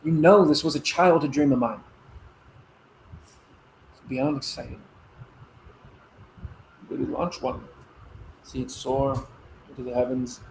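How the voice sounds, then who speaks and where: An actor playing a proud young missile officer in a stage play, explaining earnestly with dramatic pauses. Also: male voice, mid-range voice, fairly even intonation, darkish tone, slight background noise, rough recording. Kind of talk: conversational stage-play dialogue.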